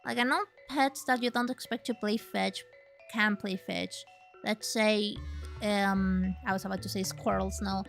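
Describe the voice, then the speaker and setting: A woman from Chile chatting casually and excitedly while streaming a game, with the odd swear. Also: medium pitch, measured pace, gravelly, faint music behind. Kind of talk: casual gaming livestream chat.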